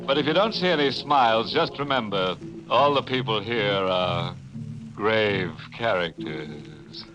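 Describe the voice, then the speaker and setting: A low-voiced, ghoulish male host of an old-time radio horror show. He is theatrical and sardonic. The dull vintage recording has clear music behind him. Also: expressive. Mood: mock welcoming. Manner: theatrical, darkly humorous, deliberate. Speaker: host and narrator. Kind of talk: 1940s radio horror narration. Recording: band-limited old radio with organ music.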